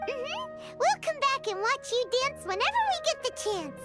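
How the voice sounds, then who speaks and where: Tiny squeaky curious game sidekick, super high n bouncy pitch, music behind. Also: female voice, very animated, normal speed. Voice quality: squeaky, childlike. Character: tiny, chirpy, curious childlike companion creature. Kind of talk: scripted video game dialogue. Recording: background music under the dialogue.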